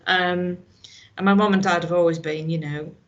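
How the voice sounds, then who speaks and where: A woman's voice: fairly low pitch, fairly even intonation, moderate pace, dull audio. A middle-aged woman from Northern Ireland with a Northern Irish accent, talking earnestly in a casual conversation, with lots of restarts. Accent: Northern Irish, slight Scottish. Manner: earnest, frequent "you know" and restarts. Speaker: hospital staff guest.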